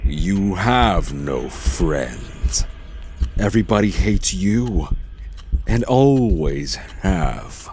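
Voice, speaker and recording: A man's voice: low-pitched, animated, a little mellow, slight background noise, full character voice. A man playing a sinister, venomous voice of guilt that taunts and goads in a fantasy audio drama. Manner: slow, taunting, drawn-out delivery. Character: sinister male voice of guilt, tempting, venomous, goading.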